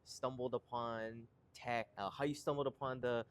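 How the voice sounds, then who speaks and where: A man's voice: medium pitch, fairly even intonation, moderate pace, a little hiss or hum. Laid-back man hosting a chat. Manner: laid-back, loose phrasing with fillers. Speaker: podcast host. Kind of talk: casual interview hosting.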